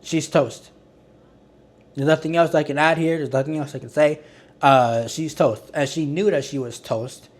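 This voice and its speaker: A man hosts a casual online commentary, his voice sitting a little higher than most men's. He rambles and restarts his sentences, sounding exasperated and critical. His delivery has a fairly monotone quality. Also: normal speed. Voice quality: self-described monotone. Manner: rambling, with frequent restarts and filler words. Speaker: commentary channel host.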